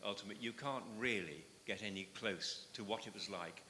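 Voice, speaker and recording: A man on a discussion panel, speaking loudly in an articulate, measured way. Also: fairly low pitch, big-room sound, a little hiss or hum. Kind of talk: spontaneous public discussion.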